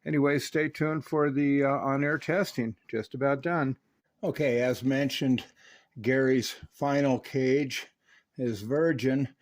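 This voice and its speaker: An American man narrating radio repair work and chatting on CB radio in a casual, unhurried way with many pauses. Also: medium pitch, steady tone. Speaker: radio repair technician and presenter.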